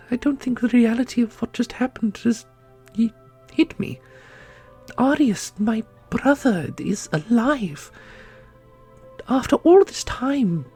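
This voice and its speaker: Husky, creaky, grave custodian, acted by a woman. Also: fairly high pitch, darkish tone, full character voice. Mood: grieving, fragile. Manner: formal, measured, halting. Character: grave, caring custodian mourning a lost brother, steadfast. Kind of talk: dramatic fantasy audio roleplay. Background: orchestral music.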